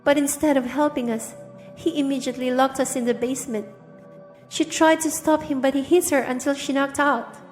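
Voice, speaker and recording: A woman with a high voice delivers dramatic first-person story narration. Her voice is soaked in long reverb. Music plays underneath. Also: steady tone, normal speed.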